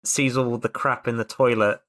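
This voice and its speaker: A man's voice: medium pitch, steady tone, moderate pace, fairly bright tone. A man casually narrating his actions as a player in a tabletop game.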